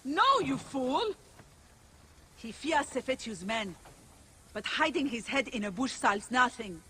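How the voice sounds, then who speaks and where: An actor playing an exasperated, scolding villager in a video game, forceful and worried. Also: sits high, big pitch swings, slow pace, slight background noise, heavily acted. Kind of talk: video game cutscene acting.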